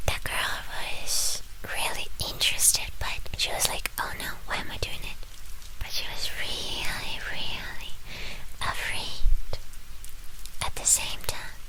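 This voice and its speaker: A woman telling a story in hushed ASMR style, in short drawn-out phrases, close to the microphone. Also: poor audio.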